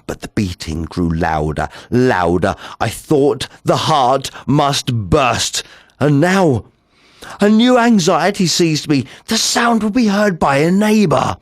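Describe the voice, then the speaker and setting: Slow, theatrical madman played by a man. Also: on the high side, big pitch swings, no echo, clean studio sound, voice pushed up, exaggerated voice. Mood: frantic, agitated. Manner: theatrical, slow, swinging from hushed to agitated. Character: nervous, obsessive madman confessing a murder, insisting he is sane. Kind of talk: dramatic gothic horror monologue reading.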